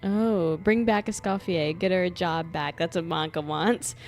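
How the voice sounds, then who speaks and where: A woman's voice: on the low side, fairly even intonation, moderate pace, soft background music. A young woman doing casual, joking live commentary as a game streamer, reacting with sarcasm.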